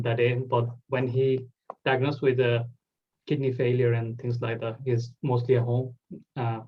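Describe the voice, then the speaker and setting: Young man, lo-fi sound. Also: medium pitch. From Bangladesh. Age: 20s. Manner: loose phrasing with many "like" fillers. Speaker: host. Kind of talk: casual interview talk.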